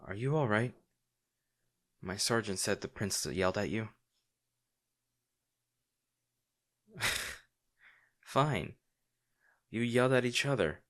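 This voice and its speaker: A man acting a low-voiced, remorseful fantasy bodyguard. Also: fairly bright tone. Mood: gentle, concerned. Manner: formal, courtly, halting and earnest. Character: remorseful, devoted male bodyguard to a noble lady. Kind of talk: dramatic roleplay audio acting, intimate fantasy drama.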